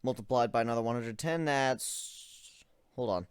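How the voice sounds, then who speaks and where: A man's voice: medium pitch, moderate pace, clean tone, dry recording, lightly acted. Man reading as a weary, analytical young griffin, dry sound. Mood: focused, explaining. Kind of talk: dramatic reading of first-person fan fiction.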